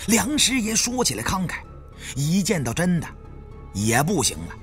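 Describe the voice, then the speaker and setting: Highly animated male audiobook narrator. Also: normal speed. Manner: suspenseful, theatrical. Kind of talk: dramatic first-person adventure narration. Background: faint background music.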